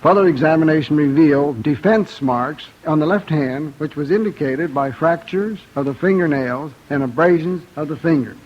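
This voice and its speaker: A man's voice: on the high side, normal speed, few pauses. A man formally reading out medical findings in a clinical manner. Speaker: medical examiner. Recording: dull old radio recording.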